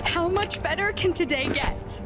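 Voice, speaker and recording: A woman's voice: very high-pitched, measured pace, music in the background. A woman voicing an eager, chatty young superheroine in a video game, casual and curious. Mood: excited. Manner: casual, upbeat.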